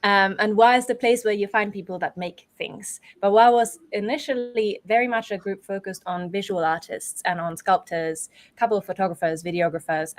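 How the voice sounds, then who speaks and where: A woman from Europe speaking as an interview guest, explaining things conversationally in a thoughtful, qualified way. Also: mid-range voice. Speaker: guest, improv theatre performer and organiser.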